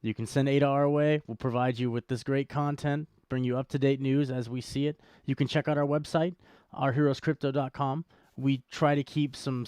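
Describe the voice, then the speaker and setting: Smooth, clear-voiced man bantering. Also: mid-range voice, a little mellow, no room sound. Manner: wry, joking. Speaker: co-host of a cryptocurrency show. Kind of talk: casual podcast banter and commentary.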